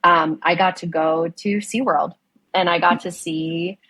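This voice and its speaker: A woman's voice: lowish voice, fairly even intonation, unhurried, a bit bright, breathy edge, dry recording, poor audio. A young adult woman from the United States whose first language is Spanish, speaking as a guest in a conversational interview, enthusiastic, with many fillers and restarts. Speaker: ocean conservation director, guest.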